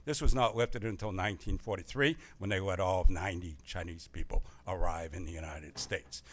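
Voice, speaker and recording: A man with a fairly low voice gives a public lecture as a history professor. He explains in a plain, steady way. He speaks into a microphone. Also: fairly quick, a little hiss or hum. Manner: plain-spoken, self-deprecating opening, steady explanatory delivery. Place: church meeting room. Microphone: handheld or stand microphone.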